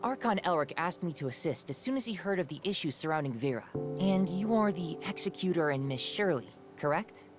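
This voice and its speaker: Woman as calm, low-voiced young male squad leader. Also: brisk, hardly any pauses, lightly acted. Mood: polite, assured. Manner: polite, formal, assured. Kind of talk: scripted video game dialogue. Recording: game music behind the voice.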